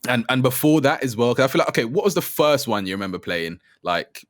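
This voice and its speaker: A man's voice: mid-range voice, very animated, fast talker, dry recording, clean studio sound. A man in his twenties hosting a casual games podcast, asking questions and laughing often. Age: 20s. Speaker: podcast host.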